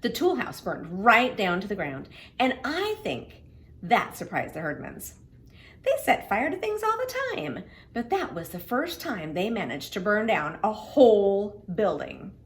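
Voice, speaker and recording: Animated woman reading aloud to children. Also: medium pitch, measured pace. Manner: expressive, simple explanations as if to children. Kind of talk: children's read-aloud with friendly introduction.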